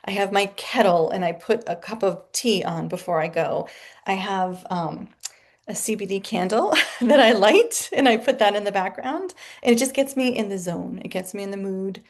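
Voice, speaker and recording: A woman speaking as a guest author in a casual interview from her home office, chatty and animated and laughing often. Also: medium pitch, moderate pace.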